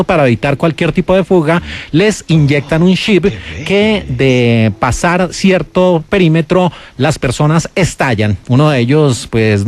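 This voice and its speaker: A Colombian man hosts a radio film countdown as a critic. His fairly high voice is explanatory and emphatic as he presents each entry.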